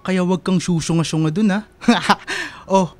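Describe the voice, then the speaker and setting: Casting: actor for playful young male best friend, radio drama, medium pitch, teasing and exclamatory banter. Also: measured pace, faint music behind, lightly acted. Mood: teasing, upbeat.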